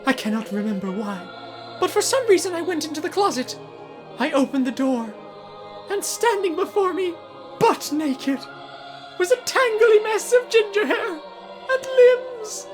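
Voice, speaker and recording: Anime parody lead: grandiose pretty-boy student played by a woman, high and very animated, music underneath. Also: measured pace. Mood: melodramatic. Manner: theatrical, grandiose. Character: flamboyant, dramatic teenage prince-type boy host club leader. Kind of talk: fan parody dub of anime.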